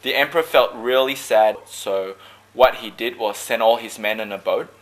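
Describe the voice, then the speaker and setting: A man from Malaysia who talks about his team sport in relaxed, joking interview answers. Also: lowish voice, normal speed, poor audio. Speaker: dragon boat paddler.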